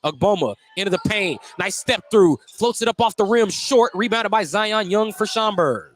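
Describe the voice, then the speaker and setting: A man's voice: high-pitched, expressive, moderate pace, a little hiss or hum. A man doing live play-by-play basketball commentary on the radio in a jokey manner. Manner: jokey, often chuckling. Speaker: play-by-play announcer.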